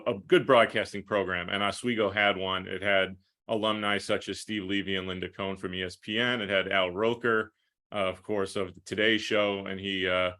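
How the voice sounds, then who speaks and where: A man's voice: fairly low pitch, bright, forceful tone. A man in his thirties from New York, talking casually as a broadcaster being interviewed on a podcast. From Rochester, New York, United States. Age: 30s. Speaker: podcast guest, play-by-play broadcaster.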